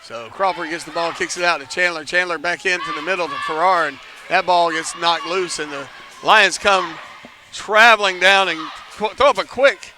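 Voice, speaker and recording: A man calling a basketball game live from an indoor arena, chatty, making joking asides about himself and rising to near shouts on big plays. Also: high voice, moderate pace, background noise. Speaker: play-by-play commentator.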